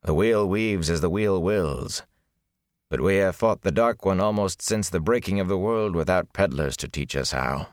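Brief: man voicing a stern male lord, very deep, clipped and dry, close dry mic. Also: expressive, no echo. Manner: slow, dry and measured.

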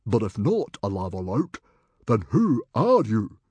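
A man's voice: medium pitch, huge pitch swings, measured pace, warm tone, breathy edge, no echo, voice pushed up, full character voice. A man voicing a bellowing, blunt and suspicious Viking chief in a storybook reading. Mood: relieved, questioning. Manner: roaring, emphatic, blunt.

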